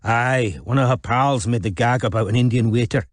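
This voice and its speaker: A man's voice: mid-range voice, moderate pace, no room sound, natural voice. Male narrator as streetwise Scotsman, blunt, coarse, slangy, audiobook dialogue, close dry sound. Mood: dry, matter-of-fact. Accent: Scottish. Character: blunt, streetwise Scottish man, a coarse investigator.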